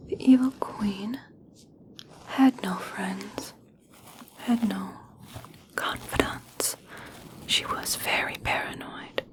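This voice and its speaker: Woman as a slow-whispering gentle elf princess. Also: medium pitch, close to an everyday voice, rough recording. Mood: gently curious. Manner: soft, slow, soothing whisper. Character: gentle, caring, curious young elf princess. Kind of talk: whispered ASMR personal attention roleplay.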